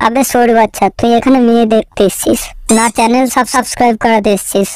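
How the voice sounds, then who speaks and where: A woman voicing a gruff, scolding father of a young suitor in a comic cartoon. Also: on the high side, fairly even intonation, fast talker, heavy background noise, lightly acted. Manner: coarse, scolding. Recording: loud music behind the voice.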